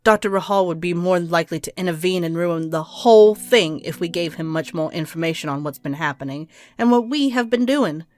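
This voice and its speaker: A woman's voice: fairly low pitch, brisk, hardly any pauses, a little mellow, no room sound, natural voice. An actor playing a cold, impatient woman doctor in an audio drama, curt, clinical and scheming. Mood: cold, calculating.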